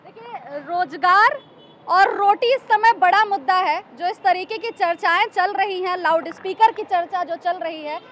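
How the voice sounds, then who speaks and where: A woman's voice: very high voice, a little slow, fairly bright tone. A young woman working as a TV reporter, interviewing people in the street. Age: young adult. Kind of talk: street interview and piece to camera. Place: outdoors on a city street. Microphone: handheld reporter's microphone. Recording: faint street noise.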